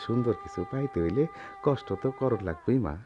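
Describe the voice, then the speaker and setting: An actor playing a gentle, principled middle-aged village goldsmith and father in a radio drama, speaking earnestly in colloquial rural Bangladeshi Bengali. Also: medium pitch, animated, fast, muffled recording, natural voice. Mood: tender, resigned.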